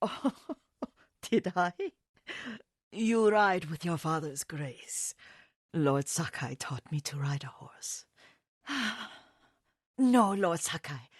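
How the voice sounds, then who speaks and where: Husky, slow-spoken elderly Japanese woman. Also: fairly low pitch, dry recording, clean studio sound. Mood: tender, weary. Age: older. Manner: gentle, deferential, unhurried, reminiscing. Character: elderly Japanese woman, devoted, nostalgic family caretaker. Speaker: video game character. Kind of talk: dramatic video game acting.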